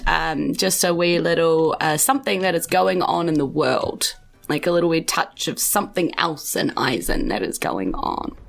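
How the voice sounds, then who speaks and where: A woman's voice: fairly low pitch, vocal fry, fairly bright tone. A woman with a New Zealand accent, hosting and narrating a tabletop game podcast as game master.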